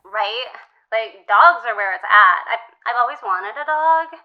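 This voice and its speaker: Woman playing a high-voiced, gushing teenage girl. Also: lively intonation, a little slow. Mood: earnest, aggrieved. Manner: chatty, earnest, casual teen talk with filler words. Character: gushing sixteen-year-old high school girl, earnest and boy-crazy. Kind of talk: improvised comedy call-in interview. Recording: dull, lo-fi sound.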